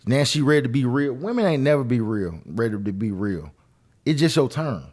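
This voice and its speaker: An opinionated man chatting on a podcast in a medium-pitched voice. He speaks casually and drives points home by repeating his phrases with emphasis. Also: animated, brisk, darkish tone. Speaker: podcast co-host.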